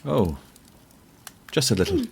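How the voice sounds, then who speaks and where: A man playing a shy, courteous, gentle professor in a period audio drama, with faint noise and clicks. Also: mid-range voice, wildly swooping pitch, a little slow, vocal fry, breathy edge, exaggerated voice. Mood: modest, polite.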